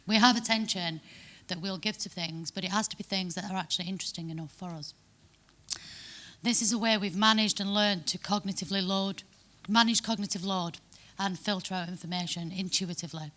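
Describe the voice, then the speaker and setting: A woman's voice: medium pitch, brisk, fairly bright tone. A woman from Manchester with a Mancunian accent, giving a chatty conference talk and often checking in with "yeah?". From Manchester, England. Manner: chatty, frequent tag "yeah?" and restarts. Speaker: conference speaker. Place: conference hall.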